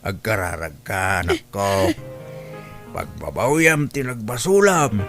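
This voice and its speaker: A man's voice: fairly low pitch, slow, fairly bright tone. Concerned Ilocano father, music underneath. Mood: solemn, advising. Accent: Filipino, Ilocano. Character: concerned older father, sorrowful and gently scolding his daughter. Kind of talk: radio drama acting. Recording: music bed under radio drama dialogue.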